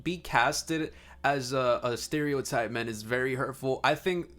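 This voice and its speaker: A man's voice: mid-range voice, unhurried, fairly bright tone. A man co-hosting a podcast, chatting casually and earnestly in a slangy, informal way. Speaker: podcast co-host. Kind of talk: casual chat.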